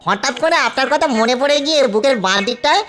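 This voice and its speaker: A woman's voice: high-pitched, expressive, fast talker, a bit bright. A woman voicing a mischievous, sweet-hungry young male ghost in a cartoon, wheedling and theatrical. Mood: sly flattering. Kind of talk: cartoon voice acting.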